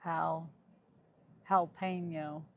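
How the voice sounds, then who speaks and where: A woman's voice: sits low, little pitch movement, slow, lo-fi sound, slight background noise. A middle-aged woman with a Midwest American accent, chatting on a livestream from her car and reading viewer chat aloud. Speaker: gig worker livestreamer. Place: inside a car. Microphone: phone on a dashboard mount.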